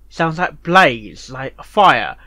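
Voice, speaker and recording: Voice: mid-range voice, big pitch swings, takes it slowly, sharp tone, no room sound. A host giving casual reaction commentary, rambling and often repeating words and phrases. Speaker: reviewer and host of a fanfiction reaction show.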